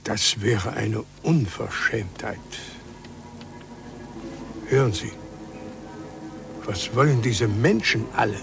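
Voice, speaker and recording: An actor playing a weary, irritable, imperious Pope in a 1940s German radio drama, speaking in a measured, testy way. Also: male voice, lowish voice, creaky, music in the background. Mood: irritated. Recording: old 1940s radio recording.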